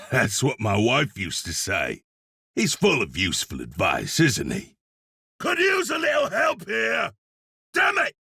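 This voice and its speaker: A man playing a grim, sardonic cowboy blacksmith demon hunter in a video game, a gravelly husky voice and a dry, laconic manner. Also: high voice, sharp tone, no echo, full character voice. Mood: urgent, shouting.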